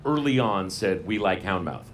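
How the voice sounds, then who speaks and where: Low-voiced teasing radio host outdoors. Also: male voice, animated, normal speed, fairly bright tone. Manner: jokey, teasing banter. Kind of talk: live on-air festival interview. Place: outdoor festival stage. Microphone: stand mic on stage. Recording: outdoor live broadcast with faint noise.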